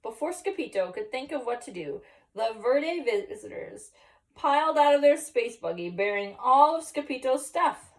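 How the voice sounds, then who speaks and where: A woman reading a picture book aloud expressively, pausing to explain and ask children questions. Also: high voice, far from the mic. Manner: animated, pauses to explain and question. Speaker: teacher reading at school story time. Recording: voice some way from the mic.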